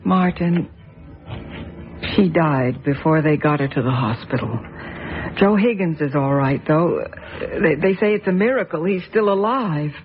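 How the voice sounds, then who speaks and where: A woman's voice: low-pitched, expressive, measured pace. A soothing, worried mother. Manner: soothing, gently reproving. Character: worried, gentle mother of a sick boy. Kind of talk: radio drama acting. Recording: muffled audio with faint music.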